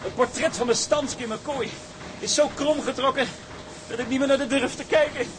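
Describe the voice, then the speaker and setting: A man's voice: very high-pitched, fairly quick. A male actor playing a discontented, complaining seaman in an audio drama. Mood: fed up. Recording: clearly noisy audio track.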